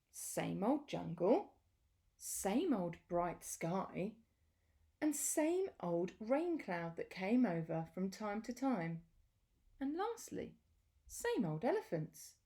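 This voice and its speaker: A woman reading a children's picture book aloud in a deliberate, expressive storytelling manner with pauses. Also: medium pitch.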